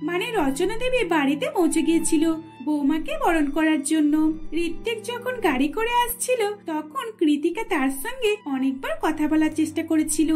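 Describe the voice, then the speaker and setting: Very high-pitched woman storyteller. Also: darkish tone. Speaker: narrator. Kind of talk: scripted cartoon storytelling.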